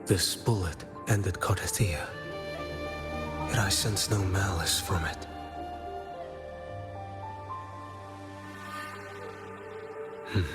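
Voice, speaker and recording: A man playing a stoic blind agent in a video game, speaking in a slow, measured, grave and cryptic way. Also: sits low, loud music behind the voice. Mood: calm, contemplative. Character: stoic blind male agent, a solemn investigator of hidden things. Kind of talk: scripted video game acting.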